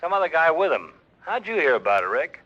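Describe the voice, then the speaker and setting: A man's voice: a little high, sing-song, brisk, highs cut off. An actor playing a blunt, exasperated male homicide lieutenant in a 1940s radio drama.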